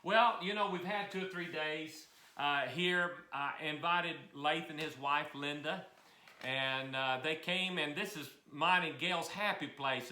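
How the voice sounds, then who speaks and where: High-voiced folksy older man in a roomy cabin. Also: pushed and bright. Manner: folksy, chatty, self-deprecating. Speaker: music teacher and host. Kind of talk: casual instructional chat. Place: mountain cabin room. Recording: roomy echo. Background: old piano.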